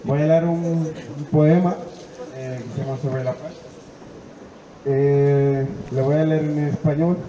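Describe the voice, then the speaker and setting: Slow man reading in a hall. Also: on the high side, steady tone, crowd noise. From Senegal. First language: Wolof. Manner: slow, hesitant reading with fillers. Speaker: adult student reading poetry. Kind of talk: poetry reading. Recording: echoey hall, dull, noisy.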